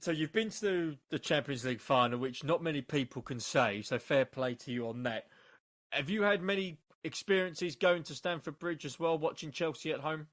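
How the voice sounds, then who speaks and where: A man's voice: fairly high pitch, normal speed, fairly bright tone. Man hosting an interview podcast. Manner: enthusiastic, leading questions. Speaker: podcast host.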